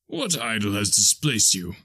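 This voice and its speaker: A man's voice: on the low side, huge pitch swings, unhurried, pushed and bright, slightly rough, dry recording, exaggerated voice. A man doing a curt, defensive young miser. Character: guarded young man grown greedy, defensive.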